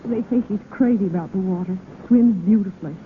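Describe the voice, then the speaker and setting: An actress playing an excited, gossipy young movie fan in a 1930s radio commercial. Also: female voice, mid-range voice, fairly quick, natural voice. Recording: scratchy narrowband 1930s radio recording.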